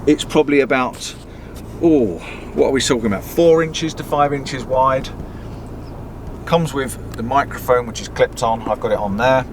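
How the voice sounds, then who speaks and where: A middle-aged British man giving a casual, enthusiastic product review to camera in a chatty, informal way. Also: medium pitch. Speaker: vlogger reviewing gear. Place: inside a campervan. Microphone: camera on a mount in the van. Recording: background noise inside a vehicle.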